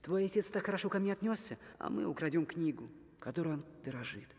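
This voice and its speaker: High-voiced, timid young cartoon dwarf. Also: male voice, animated, quick pace, creaky, a little mellow, breathy edge, big-room sound, exaggerated voice. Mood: anxious. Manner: earnest, hesitant. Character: timid, kind-hearted young dwarf errand-runner, male. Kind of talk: animated film acting.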